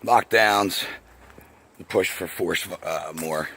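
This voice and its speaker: A man with a raspy voice talks casually to camera outdoors, rambling, swearing, and laughing often as he goes. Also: low voice, slow, sharp tone. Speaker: vlogger. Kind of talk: casual outdoor video monologue. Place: outdoors by a path.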